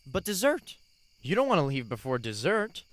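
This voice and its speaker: A man's voice: fairly high pitch, wildly swooping pitch, moderate pace, fairly bright tone, no room sound. A male actor playing an earnest, sweet young grandson in a radio play. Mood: eager, earnest.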